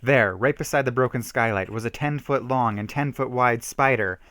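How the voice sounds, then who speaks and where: A man reads a horror story aloud in an amateur, theatrical way. His voice sits at a middle pitch, and the sound is dry and close, with almost no room on it. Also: moderate pace, a bit bright, no room sound. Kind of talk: amateur dramatic reading of a homemade horror story.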